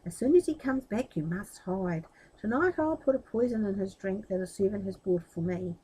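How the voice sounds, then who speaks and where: A woman's voice: mid-range voice, animated, moderate pace, warm tone, breathy edge, rough recording. A woman voicing a young captive princess in a storybook, loving and resolute, confiding and urgent.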